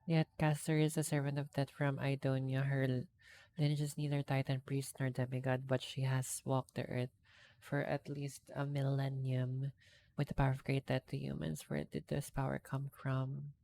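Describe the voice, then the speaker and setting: A woman game streamer reading game text and dialogue aloud in a measured, deliberate way. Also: a bass voice, completely flat delivery, vocal fry, dark tone.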